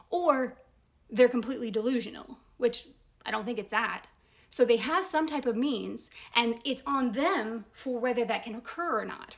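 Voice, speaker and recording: Woman, fairly high voice, noticeable vocal fry, casual analytical commentary, emphatic, rhetorical questions, frequent tag questions. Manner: probing rhetorical questions, frequent "right?" tags.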